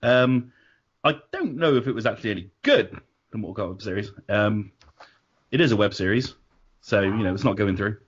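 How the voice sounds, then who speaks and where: A man's voice: lowish voice, animated, fairly quick, breathy edge. A man hosting a casual panel, talking in a chatty, hesitant way with plenty of ums. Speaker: podcast host. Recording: dull lo-fi sound.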